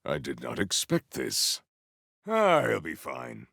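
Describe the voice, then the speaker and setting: A man voicing a big, formal, earnest warrior in a video game, with stately, measured phrasing and a solemn temper. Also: huge pitch swings, pushed and bright, no room sound, studio quality, exaggerated voice. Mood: measured, grave. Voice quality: deep, gravelly.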